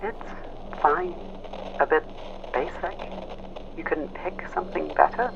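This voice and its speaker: A man voicing a wounded young female imperial mech pilot, prickly and anxious, in a clipped, halting way over a radio filter for an audio drama. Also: on the high side, lively intonation, vocal fry, muffled recording, voice pushed up, full character voice. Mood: curt, irritated. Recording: fuzzy band-limited radio with faint noise.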